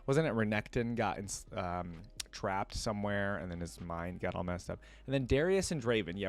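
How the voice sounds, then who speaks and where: A man's voice: on the low side, no echo. A man hosting a reaction video, thinking aloud and talking to viewers in an informal, slangy, chatty way.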